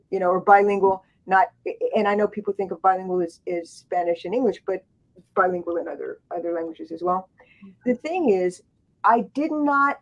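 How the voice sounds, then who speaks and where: A woman author on a book panel, telling stories in a rambling way, with many restarts and asides. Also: medium pitch. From grew up in Thailand and Japan. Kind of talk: casual panel storytelling. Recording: dull lo-fi sound.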